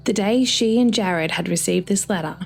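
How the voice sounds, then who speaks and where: A woman's voice: mid-range voice, moderate pace, vocal fry, faint music behind. A woman reading a scripted short story as its narrator.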